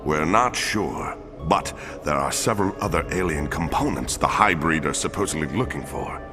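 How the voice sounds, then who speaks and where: A man voicing a formal, measured four-armed alien lawman in a video game cutscene. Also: a bass voice, expressive, in a large hall. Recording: game audio with music underneath.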